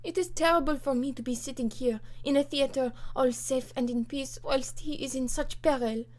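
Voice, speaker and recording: Woman as a plaintive French countess, raised pitch. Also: moderate pace, a little mellow, lightly acted. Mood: distraught. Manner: plaintive, formal. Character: sorrowful, anxious aristocratic French countess.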